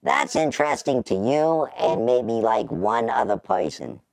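Man voicing a deadpan robot sidekick, slow and gravelly. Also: mid-range voice, dry recording, voice pushed down, poor audio. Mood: dismissive. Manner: deadpan, dismissive. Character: blunt, skeptical little internet robot sidekick. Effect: robot voice changer.

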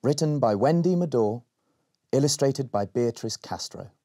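A man in his twenties reads a children's picture book aloud. His mid-pitched voice is husky and swings widely as he tells the tale expressively. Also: moderate pace. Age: 20s. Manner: expressive, acts out dialogue. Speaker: storyteller.

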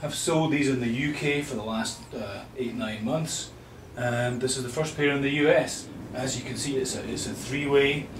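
A man in his fifties with a Scottish accent informally presenting a product as an exhibitor, explaining in a hesitant, unhurried way. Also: medium pitch, steady tone. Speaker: loudspeaker company exhibitor. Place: small hotel exhibition room, indoors. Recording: roomy hotel room, light background noise.

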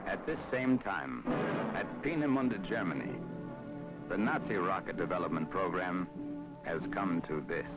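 A man with a low voice narrates a documentary in a formal, measured style, pausing for dramatic weight. The sound is that of a dull, lo-fi old film soundtrack. Loud music plays behind him. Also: animated. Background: prominent background music.

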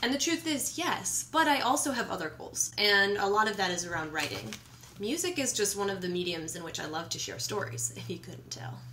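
Female vlogger, thirties, bright voice at medium pitch, chatty and animated with playful asides. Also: fairly quick, a little hiss or hum. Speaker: vlogger and musician. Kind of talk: casual chatty vlog to camera. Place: small home kitchen, indoors.

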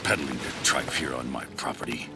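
An actor playing a bitter, heartless old patriarch in a video game, gravelly and contemptuous. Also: male voice, a bass voice, steady tone, a little fast, background noise. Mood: angry. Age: older. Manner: contemptuous, domineering. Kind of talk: video game cutscene acting.

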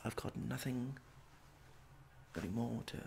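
A young man doing a soft-spoken ASMR roleplay as a medical examiner, halting and awkwardly polite, in a small room at home. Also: medium pitch, vocal fry, a little hiss or hum. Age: young adult. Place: small domestic room indoors.

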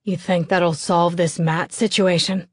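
A woman's voice: fairly low pitch, little pitch movement, a little slow, no room sound, studio quality. A woman narrator voicing a fierce, protective male lover with an intense, demanding manner in an audiobook. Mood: controlled anger.

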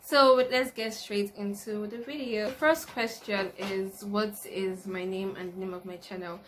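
A young woman with a Nigerian accent talks casually to her camera, answering questions as a new YouTuber. Her voice sits at a middle pitch for a woman. She is recorded in a room at home, with clear noise behind her. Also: steady tone, measured pace. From Lagos, Nigeria. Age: early 20s. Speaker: YouTuber, university student. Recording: noticeable background noise, room ambience.

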